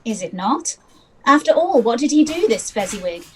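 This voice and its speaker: A woman's voice: a little high, sing-song, moderate pace. A woman playing a stern, questioning ghostly spirit in an amateur radio play. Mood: probing. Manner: questioning, commanding. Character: Ghost of Christmas Past, probing, stern spirit. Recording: noisy home video call.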